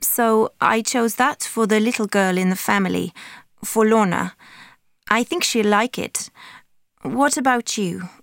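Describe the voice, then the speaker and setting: A woman's voice: medium pitch, a little slow, gravelly, no echo, studio quality. A woman from Ukraine in a paired speaking task, explaining things step by step.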